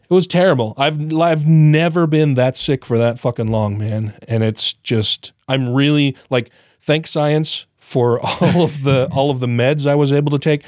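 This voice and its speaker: A man's voice: medium pitch, a little slow, a little mellow, dry recording, clean studio sound. A man co-hosting a podcast in casual chat, his voice still a bit congested and nasal, rambling, swearing freely and laughing often. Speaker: podcast co-host.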